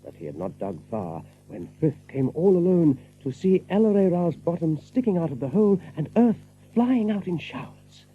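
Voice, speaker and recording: A man narrating a story in an expressive, deliberate, dramatic way. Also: a little high, husky. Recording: tinny narrow-band audio with hum.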